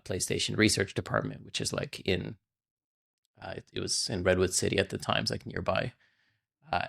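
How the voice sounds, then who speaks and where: Man in his forties from Russia, gravelly voice, rambling podcast guest, lots of "like". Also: low voice, a bit bright. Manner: rambling, fills speech with frequent "like". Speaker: podcast guest, game programmer. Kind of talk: casual interview chat.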